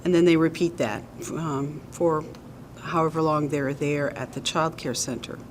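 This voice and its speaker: Low-voiced woman explaining earnestly. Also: steady tone, a little hiss or hum. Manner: measured and earnest, with occasional um and uh. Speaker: nonprofit executive director, interview guest. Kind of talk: explanatory interview answers.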